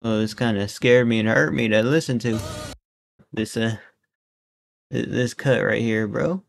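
A man's voice: medium pitch, fairly even intonation, measured pace, creaky, faint music behind. A young man giving laid-back, slangy reaction commentary as a streamer at his desk. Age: young adult. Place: indoor room at a desk.

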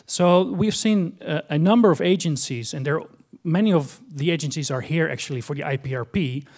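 A middle-aged man with a strong Dutch accent, giving a conference talk from a stage into a handheld microphone, explaining in a measured way with frequent fillers. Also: fairly high pitch, a little mellow. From Netherlands. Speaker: conference speaker. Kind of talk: conference presentation. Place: large conference hall stage.

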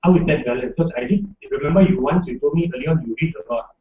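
A man's voice: on the high side, rapid-fire. A man with a Malaysian English accent moderating a panel, asking long, hedging questions into a shared handheld mic. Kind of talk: panel discussion. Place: office event space with audience. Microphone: shared handheld mic, far camera. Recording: roomy, distant, dull lo-fi sound.

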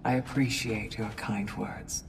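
Slow, stately Valkyrie in a cave. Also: female voice, rumbling low, fairly even intonation, creaky, exaggerated voice. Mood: sombre, forgiving. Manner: courtly, deliberate. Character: proud, formal female Valkyrie warrior. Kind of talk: video game dramatic acting. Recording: distant, echoing cave, faint ambient noise.

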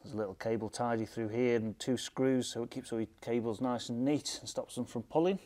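A man's voice: medium pitch, fairly even intonation, brisk, dark tone. A British man in his thirties presenting a product overview with an enthusiastic manner. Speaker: audio shop presenter. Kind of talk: product review narration to camera.

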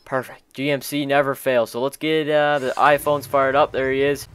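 A man's voice: mid-range voice, level tone, normal speed, a bit bright, clear, resonant tone. A man hosting a gaming video, chatting in informal slang as he roleplays and comments on the game with friends. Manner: chatty, informal, peppered with bro-style slang.

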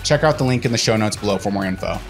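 A man's voice: lowish voice, very fast, music in the background. A young man hosting a podcast, reading a promo and asking his guests questions. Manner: upbeat promo read, then relaxed questions. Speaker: podcast host. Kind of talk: podcast host intro and questions. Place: home office.

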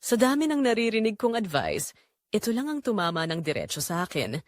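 A woman acts as a young graduate barista narrating her romance in an audio drama. She is reflective and quietly romantic, with a mid-pitched voice that rises and falls freely. The sound is close and dry. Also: wildly swooping pitch, a little fast, no room sound. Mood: tender, wistful. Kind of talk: first-person scripted audio drama narration.

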